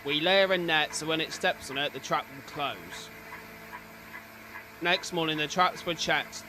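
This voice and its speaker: A man's voice: on the high side, unhurried, background noise. A British man doing informal live reaction commentary as a streamer, reading captions aloud and adding chatty asides. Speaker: streamer reacting to horror videos.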